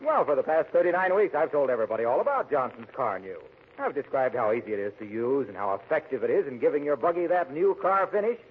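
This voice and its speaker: Breezy radio pitchman, fast lively patter, rough-edged voice, salesmanlike, 1940s comedy over a tinny recording.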